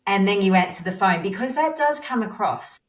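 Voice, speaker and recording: A woman's voice: medium pitch, animated, brisk. A woman hosting a podcast, chatting casually as she interviews, recorded in an echoey room. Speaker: podcast host. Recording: echoey, reverberant room sound.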